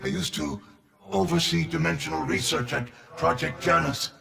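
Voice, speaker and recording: A man's voice: a little high, moderate pace, vocal fry, crowd noise. An actor playing an eccentric, sardonic and impatient male scientist in a video game, theatrical and exclamatory. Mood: sardonic, explaining.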